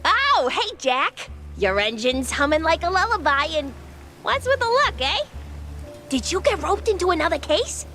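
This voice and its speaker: A cheeky young female mouse engineer from a cartoon noir game. The voice is high and very animated, bubbly and slangy, over faint music. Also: normal speed, full character voice. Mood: excited, coaxing.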